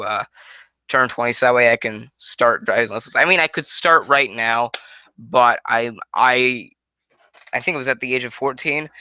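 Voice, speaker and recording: A man's voice: medium pitch, pushed and bright, clean tone, no room sound. A nineteen-year-old man from Connecticut answering viewer questions in a rambling, casual way, full of restarts, on a headset mic at home. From Connecticut, USA. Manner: rambling, many restarts and self-corrections. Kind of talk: casual Q&A monologue. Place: small room at home, indoors. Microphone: headset microphone.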